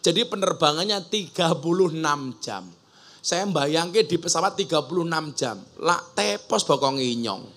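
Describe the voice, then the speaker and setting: A man's voice: on the high side, expressive, normal speed, fairly bright tone. A man preaching a humorous sermon to a gathering, joking and mimicking regional dialects. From Java. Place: outdoor religious school gathering.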